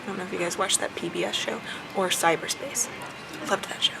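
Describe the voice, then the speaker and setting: A woman's voice: lowish voice, fairly even intonation, brisk, vocal fry, noisy background. A young adult American woman from the South chatting casually as a vlogger, answering tag questions while chewing gum. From southern United States.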